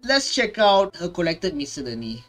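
A man's voice: sits high, big pitch swings, moderate pace, fairly bright tone, soft background music. A young adult man giving casual reaction commentary, informal and given to repeating himself. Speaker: reaction video host.

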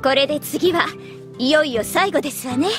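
Woman as a genteel, high-voiced anime princess. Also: moderate pace, over music, lightly acted. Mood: composed. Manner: genteel, formal. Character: refined, polite, self-possessed young princess. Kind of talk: Japanese anime audio drama acting.

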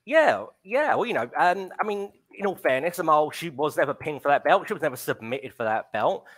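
A man in his thirties hosts a wrestling stream from home over a video call, his voice sitting fairly high. He chats casually, pausing on "um" as he reads out viewer comments through a headset mic. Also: sing-song, a bit bright. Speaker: wrestling show host.

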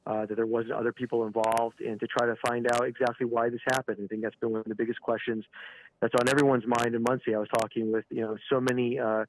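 A man giving a live news interview by phone, halting and repeating words, often saying "you know". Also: medium pitch, little pitch movement. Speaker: regional director of an advocacy organisation, interview guest by phone. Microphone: telephone. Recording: phone call with clear background noise.

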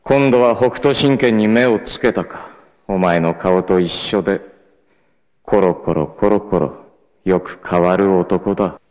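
An actor playing a proud, cool martial arts warrior in a 1980s anime, with a sardonic, dramatic delivery. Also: male voice, deep voice, a little slow, darkish tone, no room sound, lo-fi sound. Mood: scornful, taunting. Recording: noisy 1980s anime soundtrack.

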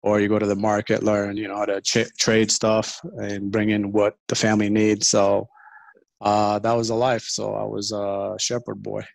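A man from Ethiopia, a podcast guest, calmly telling stories about growing up over a video call. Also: lowish voice, moderate pace, creaky, no room sound. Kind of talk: casual storytelling on a call.

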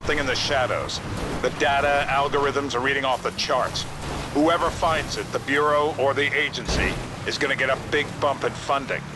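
A man's voice: medium pitch, normal speed, background noise, natural voice. An actor playing a businesslike male government agent giving instructions in a video game. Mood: insistent.

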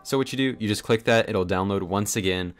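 A man narrating a casual software tutorial in a chatty, informal, explanatory way. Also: fairly low pitch, fairly quick. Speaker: tutorial host. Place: voice-over on a screen recording. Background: background music.

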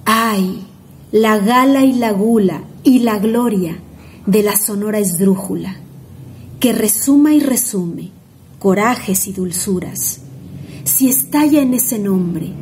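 A young woman with a Mexican accent recites poetry, savoring each word with a rhythmic, expressive delivery. Her voice is mellow and warm, close to a phone at home. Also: mid-range voice, a little hiss or hum. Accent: Mexican Spanish. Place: home room indoors.

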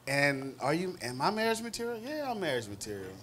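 A man's voice: fairly high pitch, sing-song, fairly quick, fairly bright tone. A man introducing himself as a playwright on a panel, hesitant and good-humored. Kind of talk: casual panel chat.

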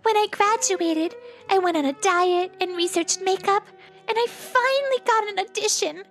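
A woman's voice: very high-pitched, moderate pace, a bit bright, faint music behind. A woman voicing a young actress who works undercover as a lunch lady, in a manga dub. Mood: earnest. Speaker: actress character.

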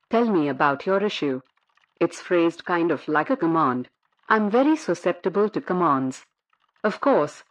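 A synthetic female text-to-speech voice playing a polite, earnest golem caller in an audio drama, measured and literal. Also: lowish voice, dull audio, natural voice. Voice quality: synthetic, computerised.

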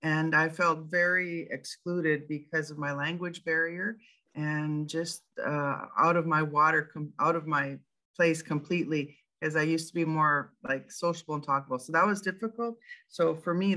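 A woman's voice: sits low, fairly even intonation, normal speed, sharp tone. A middle-aged woman from western Canada with a Canadian accent, a teacher sharing her experience on a video call. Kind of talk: personal sharing in group discussion.

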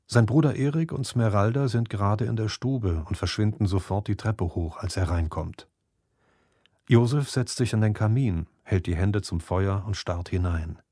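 Low-voiced man narrating a story. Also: a little mellow, breathy edge, no echo, clean studio sound. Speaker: audiobook narrator. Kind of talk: scripted fantasy story narration in present tense.

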